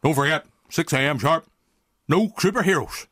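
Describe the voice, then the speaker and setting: A man's voice: fairly high pitch, moderate pace, background noise, pitched above the performer's own voice, lightly acted. A man playing an irascible elderly filmmaker turned butcher, barking and scolding in a comic radio drama. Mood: angry, gruff.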